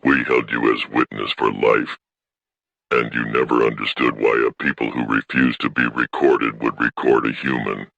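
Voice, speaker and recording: A man playing a stern, proud alien from a wronged people in a sci-fi audio story, solemn and unbending, with a gravelly voice. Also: level tone, normal speed, sharp tone, no room sound, muffled recording, heavily acted. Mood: grave resolve. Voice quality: very deep, gravelly.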